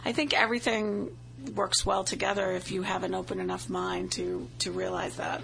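Chatty Boston woman, gravelly voice. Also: mid-range voice, flat delivery, moderate pace, fairly bright tone, slight background noise, low-quality recording. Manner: chatty, laughs often, enthusiastic. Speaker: tarot reader and medium. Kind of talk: casual live interview.

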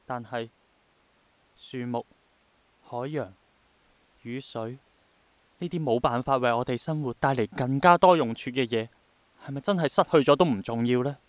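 An actor playing an idealistic, gentle young man in a radio drama, reflective and earnest, with a Hong Kong accent. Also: medium pitch, moderate pace, long pauses, no room sound, lightly acted. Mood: wistful, earnest. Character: idealistic, persistent young man longing for rain to return.

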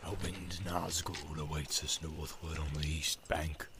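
Sly evil orc schemer, soft sneering whisper, very low and gravelly, as a male reader puts him on. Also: little pitch movement, measured pace. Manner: sneering, menacing. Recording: loud background hiss.

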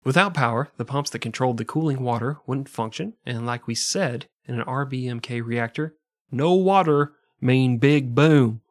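A man's voice: medium pitch, moderate pace. A man hosting a comedy history podcast, telling the story in a loose, profane, jokey way and laughing often. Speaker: podcast host.

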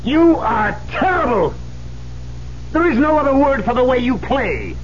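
A man's voice: very high-pitched, big pitch swings, unhurried, soft background music, exaggerated voice. A man acting in a 1970s radio drama, playing a bitter, proud, aging concert pianist who is blunt and sarcastic. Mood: stern, exasperated. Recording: dull 1970s radio broadcast, faint hum.

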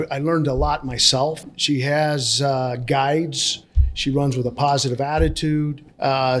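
A man co-hosting a podcast who grew up on an Iowa farm, introducing a guest and asking questions in a halting way with many fillers. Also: medium pitch. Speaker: podcast co-host. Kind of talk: interview conversation.

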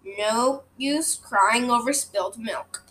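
Woman doing a calm, kindly teacher. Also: fairly high pitch, takes it slowly, a little hiss or hum. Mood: gentle.

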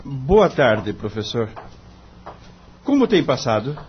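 A man's voice: mid-range voice, big pitch swings, slow, slight background noise. An actor playing an earnest, polite young male lawyer in a radio drama. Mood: polite, deferential. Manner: polite, deferential. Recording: dull, lo-fi radio recording.

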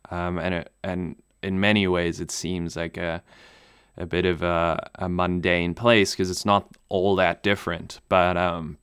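Deep-voiced, smooth man, halting and relaxed. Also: no room sound, studio quality. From Namibia. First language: German. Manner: relaxed, hesitant with many ums and restarts, laughs easily. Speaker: pilot and video maker. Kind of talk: casual interview answers.

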